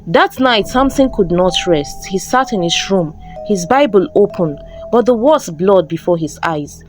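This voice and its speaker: A woman's voice: medium pitch, animated, a little slow. A woman storyteller giving a scripted, dramatic narration of a folktale. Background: background music.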